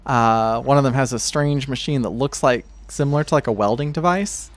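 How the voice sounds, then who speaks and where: A man narrating a tabletop game as game master, improvising in a measured, halting way. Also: medium pitch. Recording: steady noise behind the voice.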